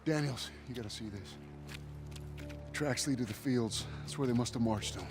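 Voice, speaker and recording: An actor playing a hard, bitter American army sergeant in a World War Two game, gruff, commanding and profane. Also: male voice, mid-range voice, moderate pace, close to an everyday voice. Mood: grim. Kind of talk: cinematic war game acting. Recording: loud cinematic music behind.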